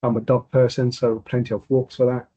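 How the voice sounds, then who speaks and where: This is a man in his forties with a husky, slightly breathy voice, talking easily as a guest on a podcast. His manner is relaxed and wry. The recording sounds dull and muffled. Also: mid-range voice, fairly quick. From Hong Kong. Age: 40s. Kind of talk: casual chat.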